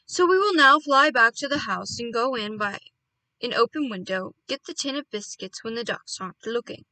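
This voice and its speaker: A woman's voice: fairly high pitch, expressive, normal speed, bright, forceful tone, poor audio. A woman voicing a bossy, proud father bird in a storybook, emphatic and commanding. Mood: reassuring.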